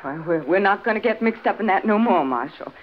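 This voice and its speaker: A woman's voice: medium pitch, animated, a little fast, a little hiss or hum, natural voice. Protective rural American pioneer mother female actor, anxious and obstinate, dull vintage western radio sound. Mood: worried, stubborn. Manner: plain-spoken, hesitant, protective. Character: anxious, stubborn frontier mother. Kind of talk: 1950s radio western acting. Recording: dull 1950s radio recording.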